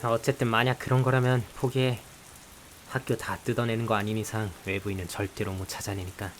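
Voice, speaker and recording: A man's voice: on the low side, brisk, natural voice. Blunt, grumbling teenage schoolboy acted by a man. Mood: grumbling, annoyed. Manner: blunt, dry, sighing and sarcastic. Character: grumbling, exasperated male high school student, reluctant partner. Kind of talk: visual novel voice acting with some first-person narration. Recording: hiss with steady rain behind.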